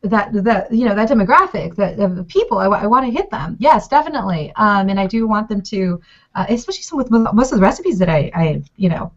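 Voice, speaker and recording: A woman's voice: mid-range voice, big pitch swings, fast talker. A Mexican-born woman, a native Spanish speaker, chatting on a video call as a food blogger, goofy and chatty with lots of laughs and restarts. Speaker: consulting client, food blogger. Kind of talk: casual video call chat. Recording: slightly dull video call audio.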